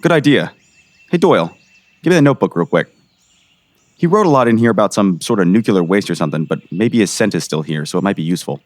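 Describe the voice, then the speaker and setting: A folksy small-town sheriff, acted by a man in a comic radio play, rattling along quickly in a lowish voice. His delivery swings up and down with earnest urgency, recorded very dry. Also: expressive, no echo. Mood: focused. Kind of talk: comic audio drama acting.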